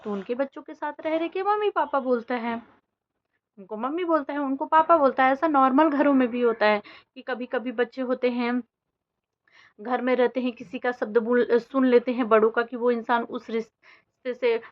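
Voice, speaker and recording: A woman's voice: on the high side, normal speed. A woman vlogger giving casual, opinionated commentary in an emphatic, argumentative way. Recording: dull lo-fi audio with faint noise.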